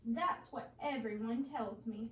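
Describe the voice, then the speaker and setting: A woman teacher with a high voice reads a picture book to young children in an emphatic, questioning way, echoing back what they answer. She is recorded at a distance from the microphone in a roomy, echoing space, with some faint noise behind her. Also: unhurried, a bit bright. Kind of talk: interactive storybook read-aloud. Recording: roomy echo, distant mic, background noise.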